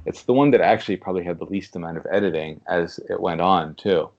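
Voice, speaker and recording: A man's voice: sits low, fast talker, a little mellow. A man chatting casually as an interview guest, a literary translator, recorded on slightly dull lo-fi audio.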